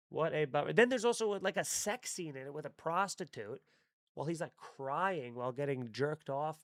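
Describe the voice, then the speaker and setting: A man's voice: medium pitch, dry recording. A young man hosting a live stream, chatting casually, rambling and swearing with wry asides. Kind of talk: casual live-stream chat reading chat comments.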